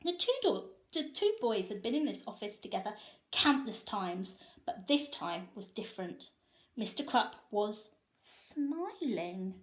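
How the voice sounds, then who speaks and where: Expressive woman reading a children's story. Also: medium pitch.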